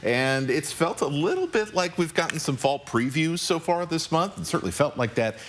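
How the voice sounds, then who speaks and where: A man with a deep voice giving a relaxed, conversational live weather forecast on morning TV. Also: moderate pace, slight background noise. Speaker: TV meteorologist. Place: TV news studio.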